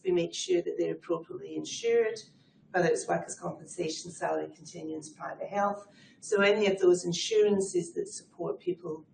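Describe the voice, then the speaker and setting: A middle-aged woman speaks with a Scottish accent and a low voice with a gravelly edge. She answers in a chatty, fluent way and pokes fun at herself. Her voice rings around an echoey room, with faint background noise. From Scotland. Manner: self-deprecating, chatty and fluent. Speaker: guest, head of people advisory. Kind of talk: conversational interview answers.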